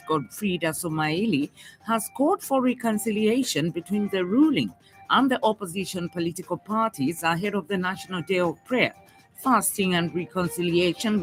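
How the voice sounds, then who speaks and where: Lower-pitched Zambian woman reading radio news. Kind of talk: scripted news bulletin reading.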